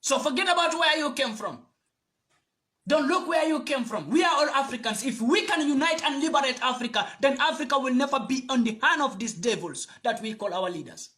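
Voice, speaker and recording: A man's voice: very high-pitched, expressive, a little fast, sharp tone. A man from Gambia, giving a fiery, preachy address to camera and repeating phrases for emphasis. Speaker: video commentator.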